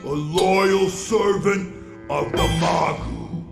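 A man voicing a mad, bullying plant creature king in a video game, gravelly, pompous and commanding. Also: high voice, fairly even intonation, slow, heavily acted. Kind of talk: video game cutscene acting. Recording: loud music behind, echoey.

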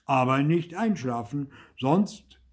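A man voicing a gruff, stern farmer in a children's story, taunting with sarcastic mock politeness. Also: medium pitch, expressive, slow, pitched above the performer's own voice. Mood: mock-polite ordering. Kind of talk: dramatised children's storybook reading.

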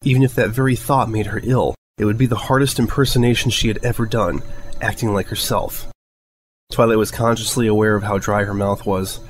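A man narrates fiction in a fairly low voice. He reads as a storyteller from a script. Some background noise sits behind him. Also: steady tone, a little fast, rough recording.

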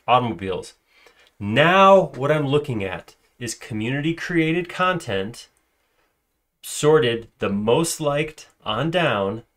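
A man's voice: medium pitch, fairly bright tone. Male tutor, conversational screencast delivery, mid-range voice, loose and unscripted. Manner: conversational, filler-heavy, self-correcting. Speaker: software trainer. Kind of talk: screen-recorded software tutorial.

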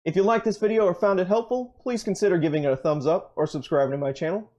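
A young man hosting a channel, briskly running through a list of tools in a scripted, upbeat way with dry jokes, recorded in a basement workshop. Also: high-pitched, dark tone, dull audio. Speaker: channel host. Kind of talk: scripted tool rundown.

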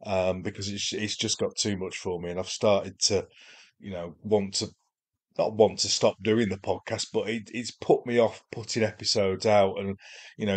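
Man, Yorkshire accent, hoarse and chesty, rambling solo podcast host, fairly low voice. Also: darkish tone. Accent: Yorkshire English. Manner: rambling, self-deprecating, with frequent ums. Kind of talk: casual solo podcast monologue.

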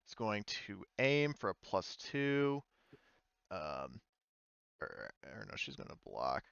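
A man's voice: deep voice, wildly swooping pitch, slow pace, gravelly, fairly bright tone, clean tone, dry recording, dull audio. A man running an online tabletop game as game master, talking casually and hesitantly as he thinks aloud.

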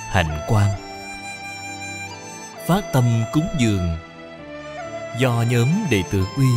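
A man reading credits and a dedication in a slow, solemn recitation. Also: fairly low pitch. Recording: music behind the voice.

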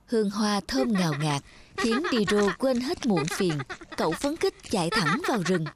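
A woman's voice: medium pitch, very animated, moderate pace, heavy background noise. A woman narrator reading a scripted fairy tale as storytelling. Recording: music behind the voice.

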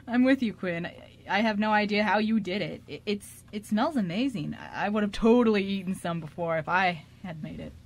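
A sweet, eager man in an amateur radio drama, played by a woman. The speech stammers with earnest gratitude, at a middling pitch for a female voice. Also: expressive, normal speed. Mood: excited, grateful. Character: grateful, eager, sweet man waking in hospital.

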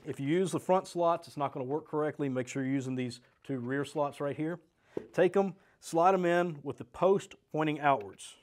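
A man's voice: on the high side, moderate pace, a little mellow. A middle-aged American man presenting a step-by-step product demonstration as a sales rep, in a plain-spoken, practical way. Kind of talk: step-by-step instructional product demo. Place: small indoor studio set with desk and shelves.

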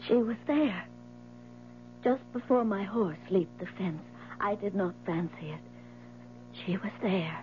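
An actress playing a devoted dying wife, solemn and theatrical, with halting pauses, in a 1970s radio drama. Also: female voice, mid-range voice, natural voice. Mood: haunted. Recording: muffled old radio recording, mains hum.

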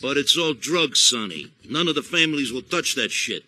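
An actor playing a wary, old-school mafia henchman with a husky voice in a video game. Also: medium pitch, expressive, moderate pace, bright, forceful tone, dry recording. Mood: wary, objecting. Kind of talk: video game cutscene acting.

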